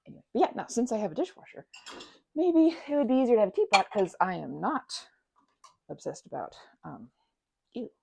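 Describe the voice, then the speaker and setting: A woman chatting casually to the listener as a best friend in an ASMR roleplay, bubbly and expressive, rambling and changing course mid-thought. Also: mid-range voice, a little fast, gravelly, slight background noise.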